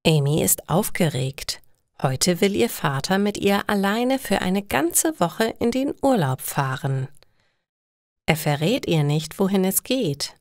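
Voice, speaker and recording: A woman's voice: mid-range voice, sing-song, slow, no room sound, clean studio sound. A woman reads simple scripted stories for language learners in careful, separated phrases. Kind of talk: scripted storytelling narration for learners.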